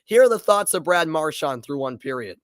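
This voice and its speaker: A congested man with a slightly sore throat talks through a live intermission report, loose and chatty, with plenty of fillers. His voice is smooth and close to the mic, in a dry room with no echo. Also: a little high, lively intonation, brisk. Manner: casual, chatty, frequent fillers and restarts. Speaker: play-by-play commentator. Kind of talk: live stream intermission commentary.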